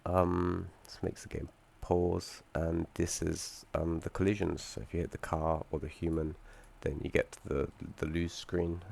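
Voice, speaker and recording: A young man with a low, gravelly voice, audibly croaky from being under the weather. He talks through his game's code in a halting way, full of ums and self-corrections, with the odd dry joke dropped in. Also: level tone, darkish tone. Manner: hesitant, many ums and restarts, dry asides. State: croaky from feeling unwell. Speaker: student presenting his game project. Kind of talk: screen-recorded code walkthrough.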